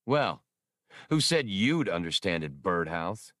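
A man voicing a brash, cocky male starship captain who talks fast and excitably. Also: deep voice, no room sound, studio quality, full character voice. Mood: scoffing.

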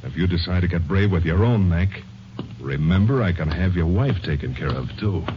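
An actor playing a menacing, sarcastic convict killer with tough gangster slang in a 1950s radio crime drama. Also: male voice, low-pitched, lively intonation, normal speed. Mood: threatening, scornful. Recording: muffled, noisy vintage radio recording.

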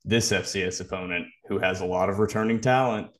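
A man with a fairly low voice co-hosts a sports podcast. He gives casual analysis in an analytical way, qualifying his points as he goes. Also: fairly even intonation, fairly quick.

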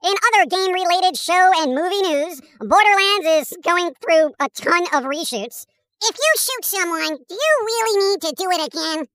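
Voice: very high voice, lively intonation, normal speed, pushed and bright, no room sound, full character voice. A performer voicing a wisecracking, cynical cartoon squirrel who delivers comic news chat in a sardonic, dismissive manner. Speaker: podcast host character.

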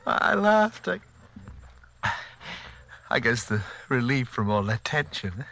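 A man's voice: mid-range voice, sing-song, takes it slowly, gravelly, pushed and bright, dry recording, heavily acted. An actor playing an anxious, haunted man locked in an institution, pleading in an overwrought 1940s radio drama style. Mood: shaken, drained. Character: anxious institutionalized man, frantic and haunted, telling his story. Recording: vintage 1940s radio broadcast.

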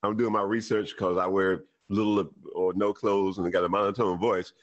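A man's voice: low-pitched, level tone, moderate pace, muffled recording. A man co-hosting a sports radio show, talking easily with chuckles and preachy asides. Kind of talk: casual podcast banter.

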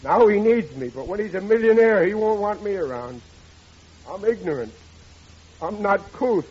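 A man's voice: high voice, animated, moderate pace, darkish tone, breathy edge. An actor playing a melodramatic, woeful middle-aged working-class father in 1940s radio comedy style, whining and ungrammatical. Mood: gloomy self-pity. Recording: dull noisy old radio recording.

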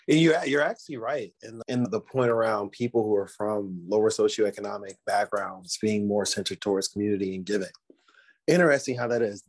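A man's voice: on the low side, darkish tone, low-quality recording. A man hosting an interview, casual and complimentary, with slangy asides. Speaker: interview host. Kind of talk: conversational interview.

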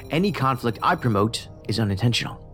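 A man's voice: medium pitch, expressive, quick pace, a bit bright, natural voice. Cerebral male investigator over prominent music, acted by a man. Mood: dryly defensive. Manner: precise, formal, detached, musing. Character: cerebral, pedantic, socially awkward male federal investigator. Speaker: actor in audio drama. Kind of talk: science fiction audio drama acting. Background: music under the voice.

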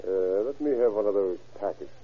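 Low-voiced American undercover cop. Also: male voice, animated, brisk, muffled recording. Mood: cool, businesslike. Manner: casual, slangy, assured. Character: easygoing, shrewd male undercover policeman. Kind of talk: 1940s radio acting. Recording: old radio recording, thin, faint noise.

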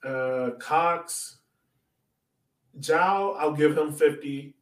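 A man's voice: a little high, very slow. A man co-hosting a sports podcast, chatting casually about soccer in an informal, joking way. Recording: roomy echo, distant from mic.

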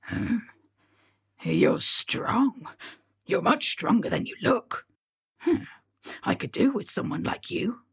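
A woman voicing a big, hairy, greedy male troll in a breathy whispered growl. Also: fairly high pitch, wildly swooping pitch, slow, long pauses, full character voice. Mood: puzzled bossy.

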